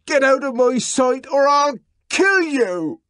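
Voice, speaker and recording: A man reading aloud and voicing a furious young man who shouts insults and rants lines of old verse in a frenzy. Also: high-pitched, animated, extremely slow, no room sound, studio quality, pitched above the performer's own voice, heavily acted. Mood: raging. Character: young man, wild and raging, quoting verse.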